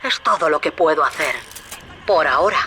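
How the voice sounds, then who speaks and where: A woman's voice: deep voice, lively intonation, moderate pace, noisy background. An actress playing a proud, bitter old woman in a video game, grave and dramatic. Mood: astonished, softening. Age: older.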